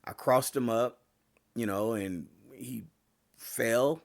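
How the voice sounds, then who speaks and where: A man hosting a sports podcast, talking casually with lots of fillers and restarts, his voice getting a little hoarse. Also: mid-range voice, slow. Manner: rambling, many fillers and restarts. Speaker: podcast host.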